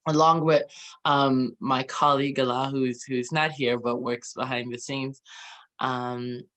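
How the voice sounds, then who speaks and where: A man's voice: medium pitch, flat delivery, unhurried, a bit bright. Chatty man hosting an interview. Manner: chatty, many ums and tag questions. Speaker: host. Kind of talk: friendly interview introduction.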